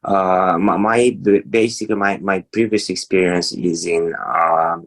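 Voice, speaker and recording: Hesitant deep-voiced man from Romania. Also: steady tone, measured pace, fairly bright tone. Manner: hesitant, many fillers and restarts, caught off guard. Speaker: meeting participant. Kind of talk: casual chat on a video call. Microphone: video call.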